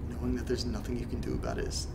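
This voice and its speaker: A man's voice: medium pitch, very animated, racing, very noisy. A man playing a wry amateur podcaster in a horror audio drama, talking casually in sarcastic, muttered, informal asides. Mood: somber. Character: wry, rambling amateur podcaster reading strange letters aloud.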